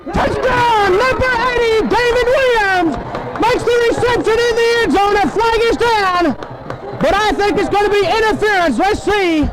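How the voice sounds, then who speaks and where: A man's voice: very high-pitched, normal speed, over a noisy crowd, poor audio. A man calls live football play-by-play on a 1970s radio broadcast, in clipped phrases full of names and numbers.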